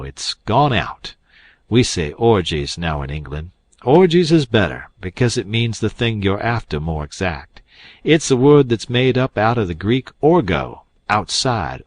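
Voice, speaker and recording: A long-winded swindler who savors every word of his own speeches, as a male reader puts him on. He sounds mock-solemn and self-satisfied, in a low voice recorded close and dry, as in an audiobook. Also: dry recording, exaggerated voice. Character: pompous smooth-talking male con man posing as English.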